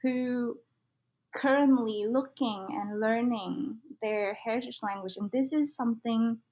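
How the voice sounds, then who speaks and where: A woman's voice: on the high side, a little slow, a little mellow. A young woman from Vietnam, a native Vietnamese speaker with a Vietnamese accent, speaking earnestly and reflectively as a podcast guest. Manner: earnest, reflective, uses many fillers. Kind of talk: casual conversation.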